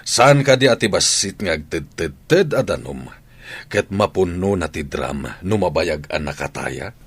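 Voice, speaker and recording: A man's voice: low voice, lively intonation, a little slow, a bit bright, husky, exaggerated voice. A man acting in a radio drama as a sarcastic, nagging middle-aged husband and father. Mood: scolding.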